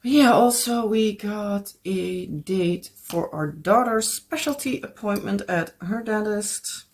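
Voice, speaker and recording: Woman, Dutch accent, casual craft vlog chat, slow, rambling, mid-pitched. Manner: rambling, searches for words, laughs often. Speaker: craft vlogger. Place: home.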